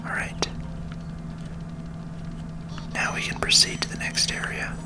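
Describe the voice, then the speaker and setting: ASMR gaming host: steady breathy whisper, soothing and patient commentary, reassuring delivery. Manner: gentle, unhurried, reassuring.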